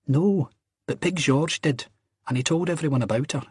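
A man's voice: fairly high pitch, normal speed, darkish tone, slightly rough, no room sound, clean studio sound, pitched above the performer's own voice. A man voicing an earnest, cheeky young Scottish man in an audiobook, blunt and insistent. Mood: indignant banter.

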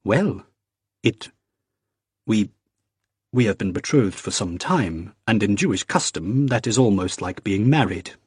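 A man voicing an eager, flustered young French nobleman who speaks with bright excitement. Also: on the low side, a little slow, long pauses, slightly rough, no room sound, studio quality, heavily acted.

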